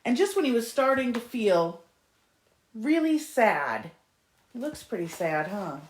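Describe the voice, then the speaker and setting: Slow, animated woman reading a storybook. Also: on the high side. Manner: expressive, with small asides to young listeners. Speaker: library storytime reader. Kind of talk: children's storybook read-aloud. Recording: echoey room.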